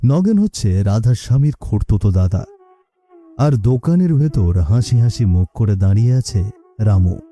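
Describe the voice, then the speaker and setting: A man's voice: fairly low pitch, very animated, moderate pace, dark tone. A man narrating a scripted story as its storyteller.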